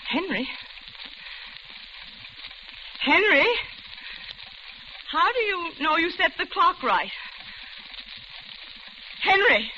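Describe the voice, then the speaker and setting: An actress playing a terrified captive woman in a radio suspense drama, halting and pleading as she humors her captor. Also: very high voice, big pitch swings, pushed and bright, full character voice. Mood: anxious pleading. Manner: breathless, halting, repeating herself in fear. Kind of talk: 1940s-style radio suspense acting with first-person narration. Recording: muffled old radio recording with crackling hiss.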